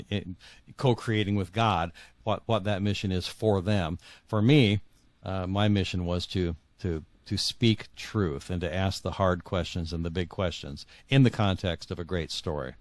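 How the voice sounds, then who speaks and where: A middle-aged man with a deep, low voice, recorded dry and close. He speaks earnestly as an interview guest, halting and repeating words as he works out his answers. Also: no room sound.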